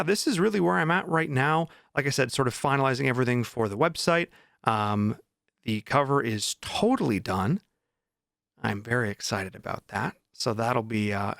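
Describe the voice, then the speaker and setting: A man's voice: mid-range voice, vocal fry, a bit bright, no echo, clean studio sound. A man chatting casually as a vlog host, informal and enthusiastic about his writing. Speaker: vlog host and indie author.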